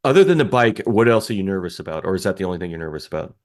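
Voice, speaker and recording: A man's voice: low-pitched, lively intonation, rapid-fire, a little mellow. A man co-hosting a sports podcast who asks questions and drops playful jokes. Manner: joking, playful asides. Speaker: podcast co-host. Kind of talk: casual interview.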